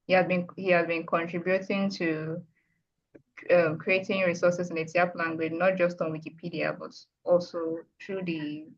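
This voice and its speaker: A woman moderates a video call, brisk and organized as she hands over turns. Her voice is somewhat low with a gravelly fry. The call audio sounds muffled.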